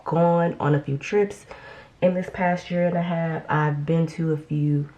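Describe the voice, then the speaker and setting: A young woman with a low, deep voice talks through a casual get-ready-with-me update at home. She sounds a little congested from a recent illness and rambles on with frequent ums and restarts. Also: fairly even intonation, a little slow, mellow, lo-fi sound. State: slightly congested after illness. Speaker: beauty vlogger.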